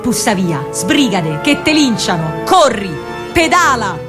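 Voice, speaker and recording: A woman voicing a big, gruff but kind-hearted Roman shopkeeper man, brusque and urging, in a radio play. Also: medium pitch, sing-song, a little slow, music in the background. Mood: urgent.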